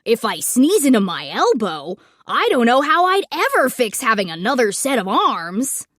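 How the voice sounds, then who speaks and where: Woman as a high, excitable sniffly storybook boy. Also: moderate pace, no room sound, clean studio sound. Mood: worried wailing. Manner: exclaiming, wailing, with bursts of sneezing. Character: sniffly, sneezy, dismayed young storybook character, male.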